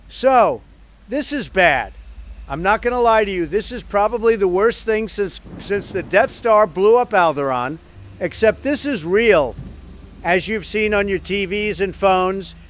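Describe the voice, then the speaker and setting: A man playing a flustered, informal president, halting and awkward, addressing the nation in an audio drama. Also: high-pitched, a bit bright, slight background noise.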